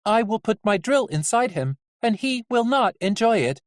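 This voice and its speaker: An audiobook reader voicing a morbid, matter-of-fact female nurse robot with deadpan menace. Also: mid-range voice, moderate pace, no echo, clean studio sound, pitched above the performer's own voice, close to an everyday voice. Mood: coldly threatening. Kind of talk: audiobook character acting.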